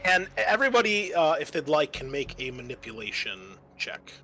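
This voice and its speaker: A man working as game master, narrating a tabletop game in a halting, pieced-together delivery. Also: a little high, very animated, sharp tone, faint music behind.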